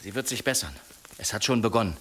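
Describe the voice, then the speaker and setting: A man in a radio play acting a courteous traveller who tells a sly tale in a polite, unhurried way. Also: on the low side, animated, a bit bright, slight background noise. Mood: courteous, earnest. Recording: music under the voice.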